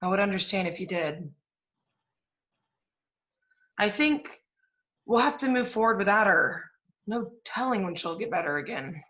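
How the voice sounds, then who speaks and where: A driven, guilt-ridden professional woman played by an actress in a stage drama, with a mid-pitched voice. She speaks emphatically and apologetically, and the recording sounds dull and lo-fi. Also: animated, measured pace, long pauses, a bit bright. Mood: regretful, hopeful. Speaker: apprentice stage actor. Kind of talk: stage play acting.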